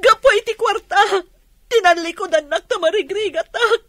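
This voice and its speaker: A fierce, desperate young mother in a radio drama. Her voice is extremely high and sharp, full of reproach. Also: moderate pace, no room sound, studio quality. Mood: tearful, reproachful.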